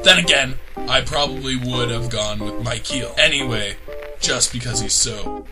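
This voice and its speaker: A man's voice: medium pitch, moderate pace, lightly acted. A man playing a bossy, sarcastic male news editor who swears when angry, with music behind. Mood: smug. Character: bossy smug male newsroom chief, quick to rage.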